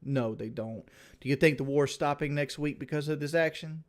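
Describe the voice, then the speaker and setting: A man's voice: a little high, moderate pace. A man chatting casually on a livestream, rambling and blunt, swearing freely and repeating himself as he talks to his viewers. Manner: repeats himself and restarts often.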